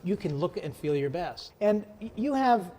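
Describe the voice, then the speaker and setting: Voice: medium pitch, sing-song, moderate pace, warm tone, big-room sound. An interview host leading a promotional chat, repeating words and reading out contact details.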